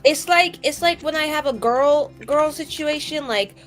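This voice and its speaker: A woman voicing an excitable, flustered young female mage who gushes in slangy exclamations in a tabletop game. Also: high voice, normal speed, soft background music, pitched above the performer's own voice, lightly acted. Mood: breezy, cheeky. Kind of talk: tabletop roleplaying in character.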